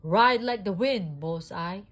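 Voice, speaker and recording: A woman's voice: mid-range voice, animated, slow, a little hiss or hum. A woman voicing a brave, urgent male toy cowboy from a storybook, calling out emphatically. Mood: reassuring, rallying.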